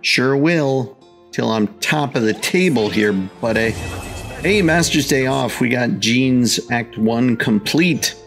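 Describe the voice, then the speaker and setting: Animated, creaky man doing let's-play commentary. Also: mid-range voice, slow pace, faint music behind. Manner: playful, exclamatory interjections. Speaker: gaming host.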